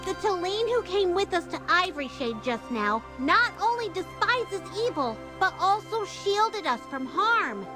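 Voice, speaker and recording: A woman's voice: very high-pitched, very animated, a little slow, hardly any pauses, music in the background, exaggerated voice. A woman voicing a small, earnest creature sidekick in a scripted game story, speaking of themself in the third person. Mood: insistent.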